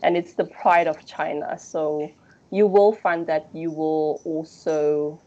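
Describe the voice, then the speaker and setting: South African woman, interview guest, mellow lowish voice, thoughtful hesitant phrasing, slightly muffled recording. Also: fairly even intonation, slow. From Durban, South Africa. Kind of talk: reflective conversational interview.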